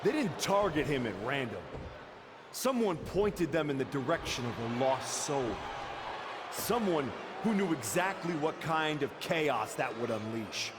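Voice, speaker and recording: A man's voice: on the high side, lively intonation, a little slow. A man playing a defiant, accusing wrestler cutting a dramatic promo in a video game, taunting and punching key words. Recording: noisy arena ambience.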